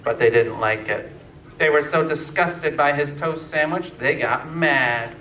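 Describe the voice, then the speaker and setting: A man narrating a scripted fairytale slowly and deliberately, with pauses, as a storyteller. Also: a little high, sharp tone. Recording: echoey room, distant mic, faint noise.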